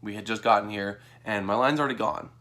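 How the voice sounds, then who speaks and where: A young American man telling a personal story to camera in a casual, animated way, acting out what people said. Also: quick pace. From Texas, United States. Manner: animated, acts out quoted dialogue in a higher voice. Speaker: vlogger.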